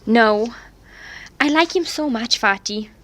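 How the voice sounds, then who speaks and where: High-voiced, slow-talking anxious teenage girl played by a woman. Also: lively intonation, a bit bright. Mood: anxious confiding. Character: distressed teenage girl being blackmailed over private photos. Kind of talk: audio drama acting.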